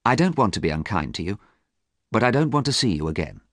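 A man voicing a proud young gentleman, bitter and wounded in love, in a storybook reading. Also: fairly low pitch, very animated, fast, no room sound, studio quality. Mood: cold.

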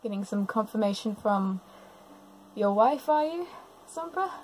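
A woman's voice: mid-range voice, expressive, unhurried, a little mellow, slight background noise, low-quality recording. A woman giving casual live reaction commentary on a cartoon, gushing and sentimental, with frequent small exclamations. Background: music from the cartoon being watched.

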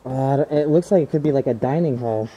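A man's voice: mid-range voice, brisk, muffled recording, crowd noise. Chatty young man exploring ruins. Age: young adult. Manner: chatty, speculative, easily impressed. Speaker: urban explorer. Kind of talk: casual exploring vlog. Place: large abandoned resort building, indoors. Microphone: handheld camera.

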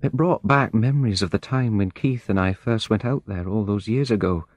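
A man's voice: lowish voice, normal speed, dry recording, highs cut off, lightly acted. A man voicing a distraught, weeping middle-aged woman in an audiobook story, with a shaky, emotional, pleading delivery. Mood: anxious, confiding.

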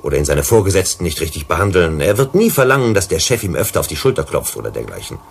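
An actor in a German radio play, playing a deliberate, probing troubleshooter who questions and lectures. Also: male voice, low-pitched, big pitch swings, creaky, slight background noise, exaggerated voice. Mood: probing, insistent. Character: probing, unhurried male troubleshooter questioning colony bosses.

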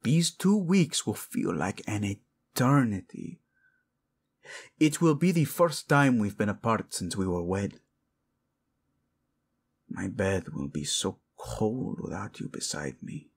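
A man playing a husky, gravelly, possessive prince. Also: lowish voice, lively intonation, full character voice. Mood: grave, commanding. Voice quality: husky, gravelly, breathy in places. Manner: slow, murmured, formal phrasing with Spanish endearments. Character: possessive, devoted prince, tender husband to his queen. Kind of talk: intimate romantic audio roleplay.

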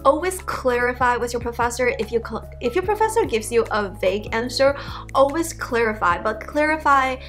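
A woman in her thirties whose first language is Chinese, giving casual study advice to camera from a living-room armchair, chatty and animated. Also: fairly high pitch, moderate pace, faint music behind. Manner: chatty, animated, acts out example emails. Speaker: educator YouTuber.

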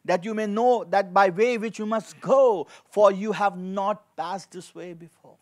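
A man in his forties preaching a sermon as a pastor, reading scripture and repeating key phrases with emphasis into a handheld microphone. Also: high voice. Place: indoor studio with dark backdrop, at a lectern.

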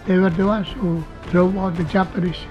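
An elderly man with a Filipino accent, telling his wartime memories in an interview in a bedroom, with animated gestures. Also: high-pitched, a little fast, highs cut off, over loud music. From Philippines. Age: older. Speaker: war veteran interviewee. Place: bedroom indoors.

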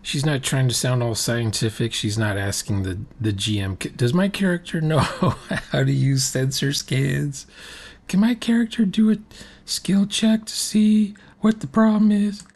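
A man's voice: medium pitch, unhurried, a little mellow. An older man giving blunt, opinionated off-the-cuff commentary as he reacts to a game session.

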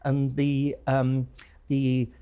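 Male historian guest, warm mellow tone, careful and hesitant. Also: medium pitch, monotone, clean tone. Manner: measured, hesitant, with many ums. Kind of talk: interview answers.

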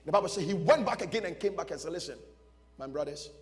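Male pastor, higher-pitched, emphatic sermon storyteller in a reverberant hall. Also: expressive, quick pace. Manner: emphatic, repeats short phrases, acts out dialogue. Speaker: pastor preaching. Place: large church stage before a seated audience. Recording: music behind, long hall reverb.

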